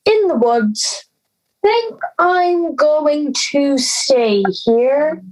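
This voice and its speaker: A young woman playing an anxious, defiant schoolgirl in an audio drama, speaking in a halting, self-questioning way. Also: high voice, expressive, heavily acted, low-quality recording. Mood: tense. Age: teenage or young adult. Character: anxious defiant schoolgirl, Little Red, hiding in woods. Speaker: youth theatre actor. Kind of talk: audio drama monologue and dialogue.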